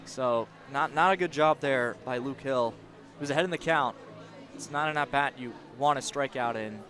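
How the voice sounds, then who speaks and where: A man's voice: medium pitch, normal speed, fairly bright tone, faint music behind. A man giving live baseball color commentary, explaining and judging the play in a conversational, opinionated way. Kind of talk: live analytical sports commentary. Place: outdoor baseball stadium broadcast position.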